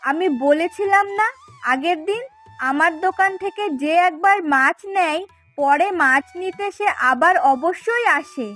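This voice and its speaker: Woman voicing a very high-pitched chatty village fishwife. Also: unhurried, sharp tone, faint music behind, heavily acted. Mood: coaxing, friendly. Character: chatty, eager village fishwife in a fairy tale. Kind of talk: Bengali fairy tale cartoon dialogue.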